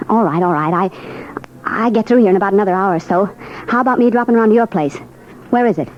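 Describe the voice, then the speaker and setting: A brassy, evasive barroom dame from a vintage radio gangster play, acted by a woman. She talks in streetwise, ungrammatical slang. The sound is dull and old, with music clearly behind her. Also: medium pitch, fast talker, close to an everyday voice. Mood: pressing, annoyed. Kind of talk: 1940s radio drama acting.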